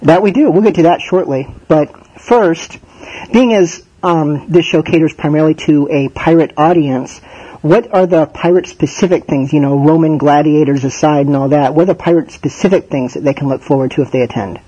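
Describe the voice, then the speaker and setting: Male podcast host, higher-pitched, relaxed and laid-back, a bit stuffy-sounding. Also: fairly quick, breathy edge, lo-fi sound. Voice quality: slightly stuffy. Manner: chatty, jokey, self-deprecating. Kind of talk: casual podcast talk and interviewing.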